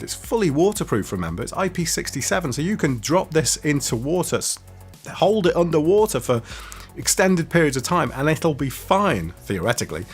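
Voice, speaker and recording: A man talks casually and enthusiastically, as a reviewer would to his viewers. His pitch is average for a man, and there is music playing behind his voice. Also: husky. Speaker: product reviewer. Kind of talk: casual walkie-talkie review to camera.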